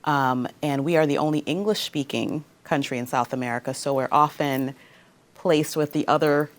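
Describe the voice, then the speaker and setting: A woman's voice: low-pitched, fairly even intonation, moderate pace. A woman from Guyana, an interview guest who speaks articulately and laughs easily. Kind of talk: casual interview.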